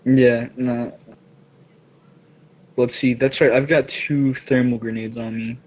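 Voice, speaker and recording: A man's voice: medium pitch, flat delivery, slow pace, darkish tone, lo-fi sound. A man playing a tabletop game over a voice call, chatting casually in slangy, laid-back wording. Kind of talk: casual chat while playing. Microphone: online voice call.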